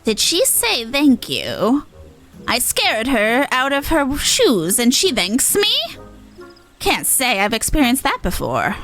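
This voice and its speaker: A woman's voice: a little high, huge pitch swings, slow, a bit bright, full character voice. Woman doing a video game monster clown guy, fancy old-timey talk, theatrical, swoopy delivery, bit of music behind. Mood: puzzled, pleased. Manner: theatrical, old-fashioned courtly phrasing. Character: male monster clown, gruff-named, theatrical, eager to scare.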